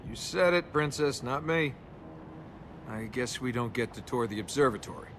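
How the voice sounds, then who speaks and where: An actor playing a cocky young adventurer in a video game, flippant and sarcastic. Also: male voice, medium pitch, expressive, moderate pace, fairly bright tone. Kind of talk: video game character acting. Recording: noisy game capture with ambience.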